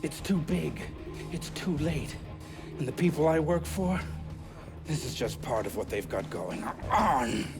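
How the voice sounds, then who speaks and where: A man's voice: fairly high pitch, animated, unhurried, vocal fry, music in the background. A man playing a smug, defiant conspiracy villain in a video game, with a sardonic, condescending manner. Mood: defiant, pained. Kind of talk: video game cutscene acting.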